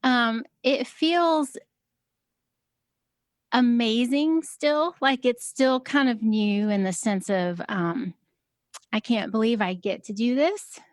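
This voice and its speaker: A woman with a high voice talking casually as a podcast guest, soft-spoken and hesitant, with many fillers. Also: takes it slowly, no echo, studio quality. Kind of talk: casual conversation.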